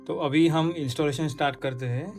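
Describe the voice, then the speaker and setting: A man's voice: fairly high pitch, steady tone, fairly quick, music in the background. A man vlogger casually explaining a hands-on installation step by step, giving practical tips as he works. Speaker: motorcycle vlogger.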